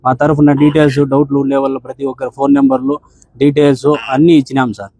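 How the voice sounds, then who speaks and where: Male, deferential and earnest, relaxed tone, dry close sound, addressing an official by phone. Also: mid-range voice, very fast, no room sound. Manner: deferential, often saying sir. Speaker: bereaved father speaking to an official. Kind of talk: earnest account on a phone call.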